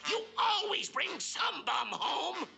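A woman's voice: very high voice, big pitch swings, slow, vocal fry, over music, exaggerated voice. An actor playing a bossy, suspicious, hot-tempered wife in a comic cartoon, a woman who scolds and domineers. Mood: furious, scolding. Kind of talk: 1960s comic cartoon acting.

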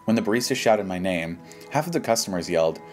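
Male YouTube host, American, low-pitched, casual and dismissive with slang, over background music. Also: animated, fast talker, darkish tone. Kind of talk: casual reaction commentary, reading posts aloud.

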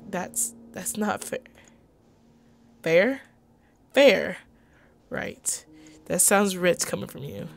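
A woman's voice: fairly low pitch, huge pitch swings, drawn-out words, vocal fry, long pauses. A woman gaming co-host with a theatrical, emotive delivery, acting out visual novel dialogue.

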